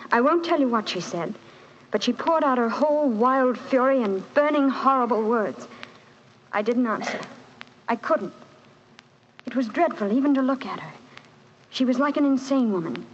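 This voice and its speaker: Woman playing an earnest, distressed young governess, high-voiced. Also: close to an everyday voice. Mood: bitter, distressed. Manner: earnest, dramatic, halting under emotion. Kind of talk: 1940s radio drama acting. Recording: muffled, noisy 1940s radio recording.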